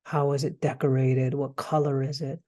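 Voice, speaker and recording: A man leading a guided meditation, speaking gently in hesitant phrases with soft pauses. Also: mid-range voice, level tone.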